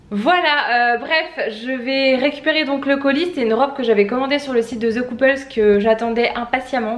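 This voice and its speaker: A woman's voice: fairly high pitch, a little hiss or hum. A woman vlogging at home on a handheld camera, stuffed up with a head cold, rambling chattily and laughing often. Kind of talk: casual home vlog. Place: home, indoors.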